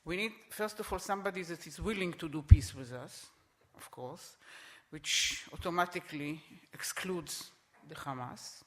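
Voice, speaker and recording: A middle-aged man speaking on a conference panel, emphatic and repeating his points, with halting restarts. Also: fairly high pitch. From Israel.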